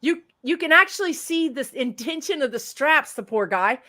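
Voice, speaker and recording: A woman, a psychic medium chatting casually as a livestream guest, rambling in a loud voice. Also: high-pitched, expressive, moderate pace.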